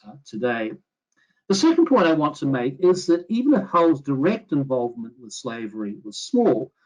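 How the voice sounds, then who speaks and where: Professor with a mixed antipodean-transatlantic accent. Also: male voice, medium pitch, poor audio. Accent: mixed New Zealand, Australian, English and American. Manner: measured, with frequent "uh" fillers and self-corrections. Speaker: history professor giving a webinar talk. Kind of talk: academic lecture.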